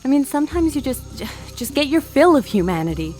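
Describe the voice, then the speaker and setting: A woman's voice: high-pitched, very animated, a little fast, a little mellow, soft background music. An actress playing a thoughtful, world-weary, centuries-old immortal woman in an audio drama, speaking earnestly and reflectively.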